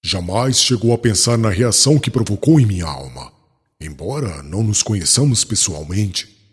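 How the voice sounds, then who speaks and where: A man's voice: lowish voice, expressive, moderate pace, breathy edge. A man playing a proud, wrathful young doctor of the law in a dramatized audiobook, speaking with formal, self-righteous vehemence. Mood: vengeful. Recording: long reverb, music behind.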